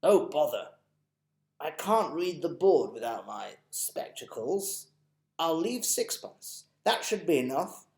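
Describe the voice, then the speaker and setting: A man voicing a kind, polite, old-fashioned elderly gentleman tramp in a storybook reading. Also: on the high side, big pitch swings, slow, gravelly, roomy sound, voice pushed up, full character voice. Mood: fussy, genteel. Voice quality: genteel, proper old-fashioned manner.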